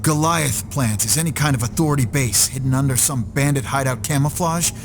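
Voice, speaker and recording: A man's voice: mid-range voice, a little fast, background noise, lightly acted. An actor playing a gritty, irreverent male ranger in a video game, talking in a brash, casual, sardonic way. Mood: determined.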